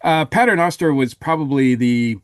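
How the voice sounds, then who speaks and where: A man on a podcast panel giving a casual review, halting as he thinks aloud. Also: medium pitch, no room sound, clean studio sound.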